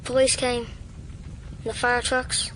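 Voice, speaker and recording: A high-voiced, shaken schoolboy. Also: female voice, little pitch movement, takes it slowly, fairly bright tone, slight background noise, poor audio. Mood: dazed, shaken. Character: shaken young schoolboy, survivor of a massacre.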